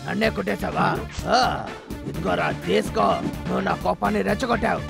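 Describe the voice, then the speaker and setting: A man voicing a bossy, protective elder-brother bear in a dubbed children's cartoon, gravelly, commanding and blustering. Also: high-pitched, a little fast, over loud music. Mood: threatening.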